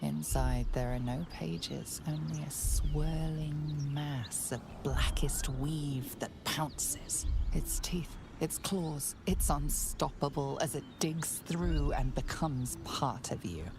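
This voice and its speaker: A woman narrating a game in a slow, hushed, ominous voice. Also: sits low, fairly even intonation, vocal fry, noisy background, heavily acted.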